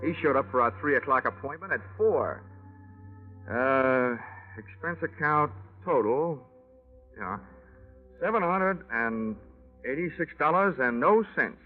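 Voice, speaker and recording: A man's voice: mid-range voice, lively intonation, unhurried, long pauses, natural voice. An American actor playing a wry, tough insurance investigator, narrating his case in a 1940s radio noir style. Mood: dry, wry. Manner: wry, hard-boiled, wisecracking. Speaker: lead actor, detective narrator. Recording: muffled narrow-band 1940s radio, music behind.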